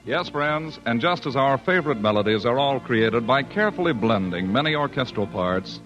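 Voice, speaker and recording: A man working as a radio announcer, reading a 1940s advertisement as a smooth sales pitch. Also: expressive, normal speed. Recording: muffled old radio recording. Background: music under the voice.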